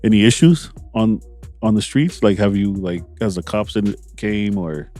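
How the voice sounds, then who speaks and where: A man's voice: lowish voice, normal speed, warm tone, soft background music. A man hosting a podcast, asking the questions in an easygoing way with short agreements. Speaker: podcast host, interviewer. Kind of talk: casual podcast chat.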